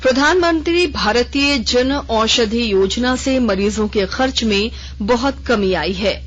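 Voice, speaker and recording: A woman reading a regional radio news bulletin in a measured, formal delivery. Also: fairly high pitch. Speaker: newsreader. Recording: lo-fi radio broadcast.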